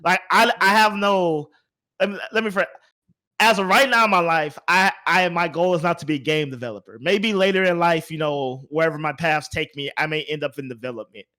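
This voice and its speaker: A man hosting a casual gaming podcast, talking in an animated, rambling way and repeating phrases for emphasis. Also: on the high side, brisk. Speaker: podcast host.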